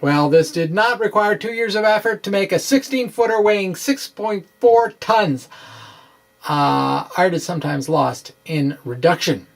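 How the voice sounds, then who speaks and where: A middle-aged man presenting an informal science explainer, with halting, emphatic delivery and wry asides. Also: sits high, expressive, vocal fry. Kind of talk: informal dramatic reading of a physics explainer. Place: small indoor room with bookshelves. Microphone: microphone on stand close in front.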